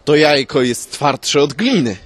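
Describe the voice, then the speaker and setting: A man's voice: fairly high pitch, animated, measured pace, slightly rough. A man voicing an earnest, eager slave food-taster who puzzles things out in a comic tale. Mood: excited.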